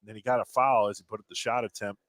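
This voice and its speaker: A man's voice: fairly low pitch, fairly even intonation, very fast, no echo. A man giving conversational analysis as the color commentator on a live basketball broadcast. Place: indoor gymnasium. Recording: live broadcast with loud crowd. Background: gym crowd.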